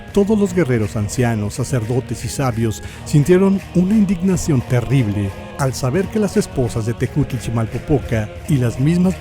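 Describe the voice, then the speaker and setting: Casting: male narrator, Mexican accent, solemn theatrical history storytelling, medium pitch, set over clear background music. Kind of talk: dramatic scripted historical narration.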